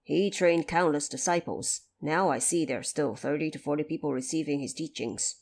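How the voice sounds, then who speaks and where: A woman's voice: sits low, moderate pace, a little mellow. Woman playing a blunt, busy male woodcutter. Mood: helpful. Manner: blunt, plain-spoken. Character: gruff, busy male woodcutter supporting his mother.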